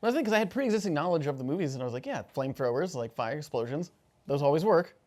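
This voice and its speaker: Fast-talking man in casual game chat. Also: fairly high pitch, animated, a little mellow. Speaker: player in a tabletop game.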